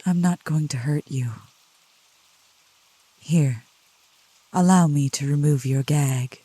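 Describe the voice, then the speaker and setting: A woman playing a seductive, protective demon in a soft ASMR roleplay, speaking slowly and coaxingly in a low, gentle voice. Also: breathy edge, dry recording, exaggerated voice, low-quality recording. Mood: soothing, tender. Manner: slow, deliberate, coaxing, with pet names. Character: seductive, protective female demon, soothing yet scornful of cultists. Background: rain.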